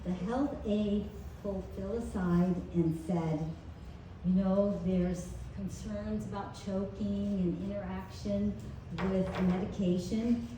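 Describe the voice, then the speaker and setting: A woman reading a prepared eulogy at a memorial, measured and tender. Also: mid-range voice, fairly even intonation. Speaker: family member giving a eulogy. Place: memorial gathering in a large room. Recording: echoey room, distant mic, faint noise.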